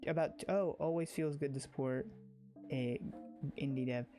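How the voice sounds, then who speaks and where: Excitable, rambling game streamer with music behind. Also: mid-range voice, moderate pace. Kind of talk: casual livestream chat. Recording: music under the voice.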